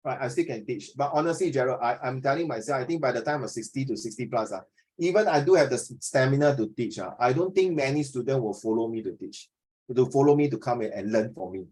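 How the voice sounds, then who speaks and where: A Singaporean man in his fifties leads an informal class discussion at a middling pitch. He talks like a teacher thinking aloud, repeating phrases and tagging his sentences with questions and small particles. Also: lively intonation, fast talker, darkish tone, rough recording. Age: 50s.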